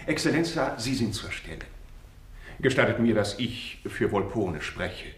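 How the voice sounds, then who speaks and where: A scheming advocate in a radio play. His delivery is pompous and lawyerly, with lively swings of pitch in an echoing room. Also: a little slow. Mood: smoothly pleading. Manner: eloquent, pompous, legalistic. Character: smooth, scheming male notary and advocate. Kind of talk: German radio drama acting. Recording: roomy echo on radio drama.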